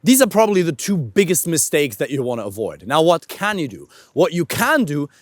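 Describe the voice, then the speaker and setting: A man with a naturally high voice coaches other men while he walks outdoors. His pitch swings widely as he leans on words for emphasis, and now and then he swears casually. The sound is close and dry. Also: very animated, fairly quick, no room sound. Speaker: dating coach. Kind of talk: walking talk to camera, coaching. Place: outdoors, walking with a camera operator.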